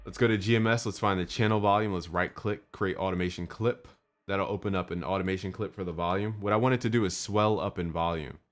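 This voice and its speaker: Very low-voiced man teaching beat making. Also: fairly even intonation, fast, hardly any pauses. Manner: step-by-step, instructive. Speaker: music production tutor. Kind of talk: screen-recorded software tutorial.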